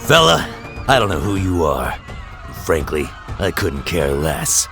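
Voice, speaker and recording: A man playing a gruff, short-tempered mutant tough guy with a growling, slangy, confrontational delivery in an audio drama. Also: deep voice, sing-song, a little slow, music in the background, full character voice. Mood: combative.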